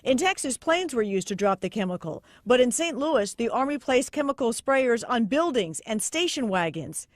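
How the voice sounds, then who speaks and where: A woman's voice: on the high side, sing-song, normal speed, few pauses, no echo, clean studio sound. A woman with an American accent narrating a scripted investigative television news report.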